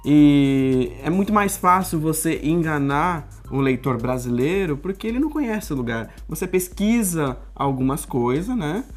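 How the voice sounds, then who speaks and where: Female TV host, deep register, relaxed interviewer, faint music bed. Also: moderate pace, hardly any pauses. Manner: conversational, frequent backchannel. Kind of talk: TV studio interview.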